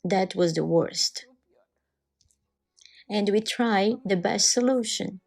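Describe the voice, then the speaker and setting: A woman reads a testimony voice-over in non-native English. Her pace is very slow and measured, with short deliberate phrases and a mid-range pitch. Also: vocal fry. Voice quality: non-native English with halting, irregular grammar. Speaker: voice-over translator of a church testimony. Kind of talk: dubbed first-person testimony narration.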